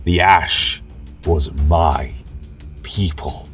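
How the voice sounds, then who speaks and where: A man's voice: rumbling low, drawn-out words, fairly bright tone, far from the mic, faint music behind, heavily acted. A man playing a bitter, mournful male elf champion in a fantasy audio tale, speaking in a stern, solemn manner.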